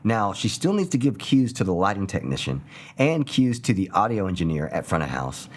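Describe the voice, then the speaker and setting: Low voiced guy hosting a review video, really animated intonation, emphatic, music underneath. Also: brisk. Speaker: video host. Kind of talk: scripted review presenting.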